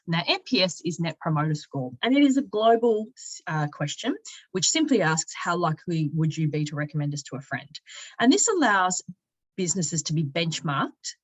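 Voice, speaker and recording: A woman narrating an informal software walkthrough, explaining each step conversationally as a product demonstrator. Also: low-pitched, poor audio. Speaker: product demonstrator, company sales and marketing director.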